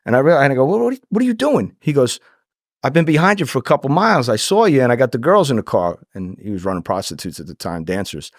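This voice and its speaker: A man's voice: mid-range voice, sing-song, quick pace, no room sound, clean studio sound. A middle-aged man telling anecdotes casually as a podcast guest, acting out the dialogue and swearing freely. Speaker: podcast guest, former undercover agent.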